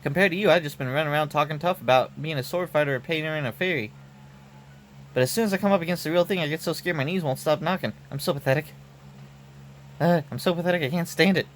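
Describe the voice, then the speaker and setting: A man's voice: on the high side, fast, a little hiss or hum, lightly acted. Man voicing a brash, emotional young swordswoman. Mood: ashamed, scared. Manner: blunt, slangy, emotional tough talk. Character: brash young female painter swordfighter, self-doubting but fiery. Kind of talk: game dialogue read aloud. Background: background music.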